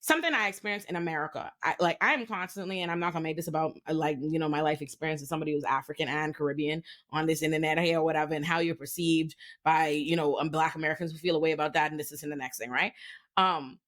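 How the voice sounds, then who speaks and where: A woman in her thirties from the Bahamas, talking casually on a podcast and getting animated as she tells a story. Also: deep voice. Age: 30s. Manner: fast, animated, emphatic storytelling. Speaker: podcast host. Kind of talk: casual chat.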